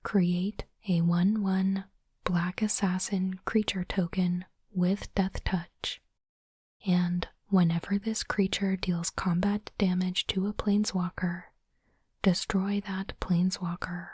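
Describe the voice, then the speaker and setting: A woman doing ASMR in a soft, breathy whisper, speaking in a hushed, deliberate way as she hosts an unboxing. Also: lowish voice, level tone, no room sound, studio quality. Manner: slow, deliberate, hushed delivery. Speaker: host of ASMR card unboxing channel. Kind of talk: ASMR whispering, close and gentle narration.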